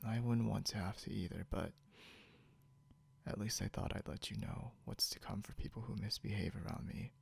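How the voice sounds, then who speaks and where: A man playing a possessive, grudgingly caring non-human captor in an intimate ASMR roleplay, gravelly and often slipping into a whisper, muttering haltingly and condescendingly, with noise behind him. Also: lowish voice, full character voice. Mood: smug, threatening. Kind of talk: ASMR roleplay, intimate second-person acting. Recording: noticeable background hiss or hum.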